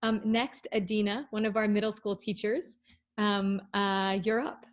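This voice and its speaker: A woman's voice: medium pitch, level tone, a little slow. Woman moderator introducing speakers. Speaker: event moderator. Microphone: video call.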